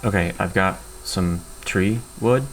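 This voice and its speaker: A man's voice: deep voice, takes it slowly, faint music behind, poor audio. A man playing a first-time Minecraft player who asks simple questions in a demo. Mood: curious.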